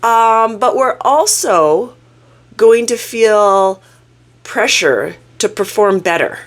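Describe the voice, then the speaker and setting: A woman hosting her own channel, sounding croaky from a cold as she talks through a topic in a casual, earnest, self-reflective way. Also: mid-range voice, expressive, slow. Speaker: YouTube channel host. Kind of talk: casual talking-head explainer.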